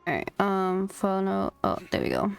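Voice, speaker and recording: A woman's voice: mid-range voice, huge pitch swings, moderate pace, gravelly, clean tone, soft background music. A woman streaming a video game, giving casual live commentary.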